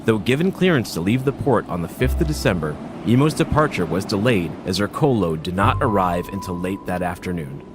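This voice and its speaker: A man giving a steady, measured documentary-style narration. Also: low voice, noisy background.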